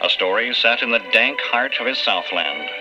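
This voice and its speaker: A man's voice: mid-range voice, expressive, moderate pace, over music. A man announcing as host in a formal, emphatic old-time radio style, reading a scripted introduction. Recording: muffled old radio broadcast.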